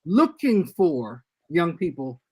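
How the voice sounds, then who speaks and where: An older man, a guest church leader, giving earnest and measured advice in a casual podcast discussion over a video call. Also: fairly high pitch, very animated.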